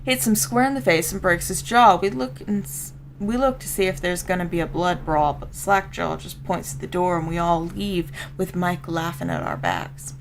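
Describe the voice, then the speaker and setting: A rough street-gang old hand , played by a woman, spins a tale in a folksy, colloquial way with a Southern American accent. The voice sits a little low and crackles with fry, over faint noise, in the style of a video game story read aloud. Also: moderate pace, few pauses, lightly acted. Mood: streetwise, matter-of-fact. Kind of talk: dramatic first-person story reading.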